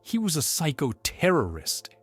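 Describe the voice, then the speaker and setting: An indignant, awkward man nursing old grudges , acted out by a man for a dramatised audiobook. His sardonic delivery swings widely in pitch, over faint music. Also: wildly swooping pitch, normal speed, husky, heavily acted. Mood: bitter, scornful.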